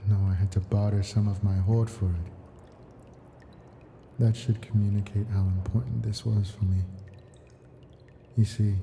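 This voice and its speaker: An ancient male dragon, played by a man, speaks in a low, very soft-edged dark voice at a slow pace. He is possessive and coaxing, with an imperious menace beneath the doting. It is an intimate ASMR fantasy roleplay. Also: slight background noise. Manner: slow, coaxing, imperious, formal storybook diction.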